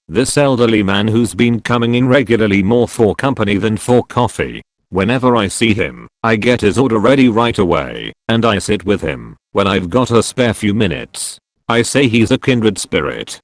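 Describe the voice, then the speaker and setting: A man narrating a story read from an online forum in an animated storytelling manner. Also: lowish voice, dry recording. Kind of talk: reading online stories aloud.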